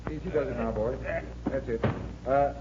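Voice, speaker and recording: A man's voice: medium pitch, normal speed, close to an everyday voice. An actor playing a confident male stage magician and escape artist in 1940s radio acting style. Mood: commanding, assured. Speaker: lead actor in a radio serial. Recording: muffled, hissy 1940s radio recording.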